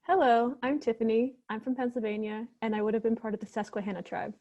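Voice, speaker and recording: Mellow-voiced woman on a dull recording. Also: a little high, fairly even intonation, fast. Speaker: workshop presenter. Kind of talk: instructional talk.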